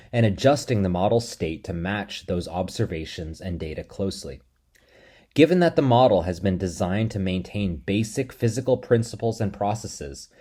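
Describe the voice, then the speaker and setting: A man delivering a scripted lecture in a measured, steady explanatory way. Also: lowish voice.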